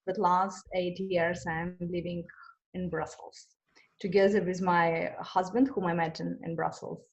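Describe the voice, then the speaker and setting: A woman's voice: lowish voice, level tone, unhurried, darkish tone, lo-fi sound. A woman from Russia who speaks, answering interview questions in a hesitant way, with many fillers and restarts. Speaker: interview guest. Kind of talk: conversational interview.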